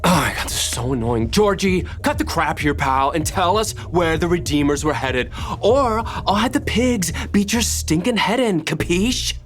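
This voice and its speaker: A man's voice: high voice, animated, normal speed, hardly any pauses, a bit bright, faint music behind. A man playing a vain, flippant, lustful villain in a comedy table read. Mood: impatient menace.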